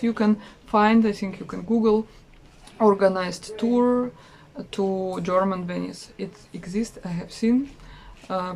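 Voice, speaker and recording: A woman in her thirties with a Russian accent, chatting casually about a trip in a travel vlog with many pauses and fillers. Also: medium pitch, a little mellow. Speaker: travel vlogger.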